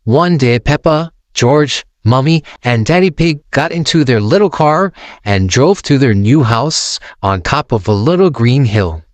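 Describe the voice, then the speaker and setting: A man narrating a children's storybook aloud in an expressive, sing-song way, close to the mic. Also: medium pitch, a little slow, dry recording.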